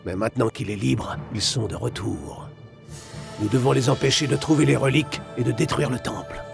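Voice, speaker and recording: A man voicing a stern old kung-fu master, a small animal mentor in a game dub, solemn and commanding with a gravelly voice. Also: mid-range voice, moderate pace. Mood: stern, urgent. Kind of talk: dubbed video game acting. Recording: prominent music behind the voice.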